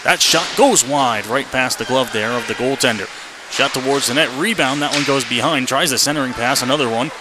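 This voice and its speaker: Man calling hockey over loud arena noise. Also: medium pitch, fairly quick. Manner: clipped running phrases, rising to excited calls on shots. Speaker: ice hockey play-by-play announcer. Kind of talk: live radio sports commentary. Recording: noisy live arena radio feed.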